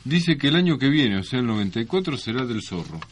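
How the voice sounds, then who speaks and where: A man's voice: mid-range voice, sing-song, quick pace, slight background noise. An Argentine man co-hosting a radio show in casual, playful, ironic banter. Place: radio studio.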